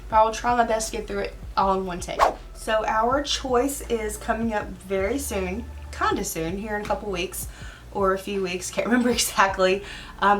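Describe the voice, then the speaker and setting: A woman beauty vlogger chatting casually about makeup, her voice hoarse, talking around a cough drop in a rambling, chatty way. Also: fairly high pitch, far from the mic. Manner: chatty and rambling, with filler asides. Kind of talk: casual get-ready-with-me chat.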